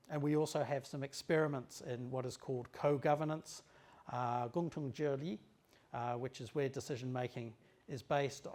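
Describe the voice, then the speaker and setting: Measured male lecturer, mid-pitched. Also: a little mellow. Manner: measured, with frequent um and uh pauses. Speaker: trade office director giving a lecture. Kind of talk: formal lecture to an audience.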